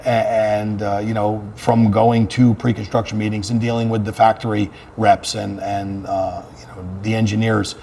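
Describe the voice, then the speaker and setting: A man's voice: on the low side, flat delivery, moderate pace, creaky, hardly any pauses, darkish tone, slight background noise. A man speaking as a fire chief being interviewed, talking about his work in an earnest, conversational way and repeating phrases for emphasis.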